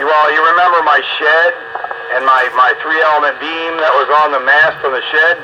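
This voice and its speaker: A man's voice: on the high side, steady tone, moderate pace. An American man in casual, folksy conversation as an amateur radio operator over two-way radio. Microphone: two-way radio microphone. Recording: muffled radio transmission with clear static noise.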